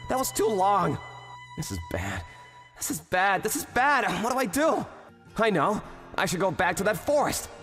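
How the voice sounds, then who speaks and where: A man's voice: sits high, normal speed. A young man playing an earnest office worker in a manga dub, narrating his story and voicing his lines expressively. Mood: panicked, startled. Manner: animated, expressive, swings between narration and exclamation. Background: music.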